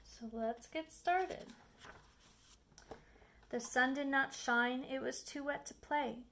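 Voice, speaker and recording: Woman reading a kids book out loud, high bright voice, really expressive and dramatic. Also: slow, a little hiss or hum. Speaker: storybook reader. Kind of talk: expressive children's read-aloud narration.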